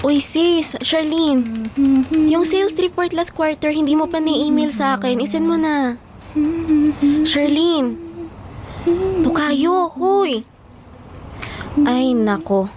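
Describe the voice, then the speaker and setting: A woman's voice: sits high, slow pace, pauses often, noisy background. A woman with a Filipino accent acting a practical, nagging young office co-worker in an audio drama.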